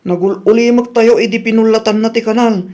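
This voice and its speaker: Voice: medium pitch, fairly even intonation, a little fast, mellow, close to an everyday voice. Actor doing a young filipino grown kid, indignant, complains and talks back, radio comedy drama. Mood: complaining. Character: indignant grown child, defiant toward a greedy uncle.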